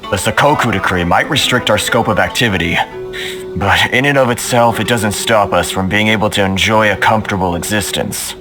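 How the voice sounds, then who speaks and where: A man playing a courteous, world-weary merchant in a video game, with measured speech. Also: lowish voice, lightly acted. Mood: aggrieved. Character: weary, courteous male merchant, head of a trade association. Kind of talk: video game dialogue acting. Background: game music.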